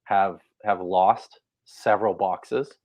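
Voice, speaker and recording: A man's voice: low-pitched, fairly even intonation, slow, a little mellow, dry recording. A man talking as a guest scholar in a casual interview, thoughtful and hesitant, with many fillers and restarts.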